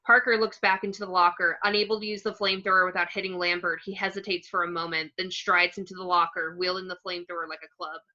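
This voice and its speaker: A woman's voice: on the low side, flat delivery, fast talker, hardly any pauses, sharp tone. A woman narrating stage directions from a screenplay in short clipped phrases, on a lo-fi recording. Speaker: narrator reading action descriptions.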